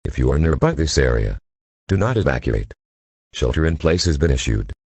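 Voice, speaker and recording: Male emergency alert announcer, extremely low register, swinging intonation, stilted synthetic read of formal scripted warnings. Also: very animated, moderate pace, very noisy.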